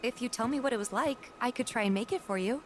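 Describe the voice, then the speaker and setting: A woman's voice: fairly high pitch, quick pace, natural voice. A woman voicing a friendly, chatty young female companion in a video game, with music playing. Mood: playful, fond. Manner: chatty, playful. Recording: game audio under loud music.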